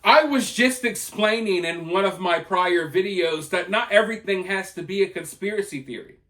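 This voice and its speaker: An American man with a notably high voice talks straight to his viewers as an online commentator. His delivery is conversational yet emphatic, leaning on rhetorical turns as he argues his opinion. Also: fairly bright tone.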